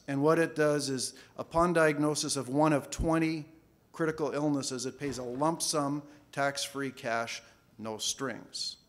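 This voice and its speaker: A man giving a conference talk in a relaxed, casual way, often repeating words as he goes. English is not his first language. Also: medium pitch. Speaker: conference speaker, financial planner. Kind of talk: conference presentation. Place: conference hall.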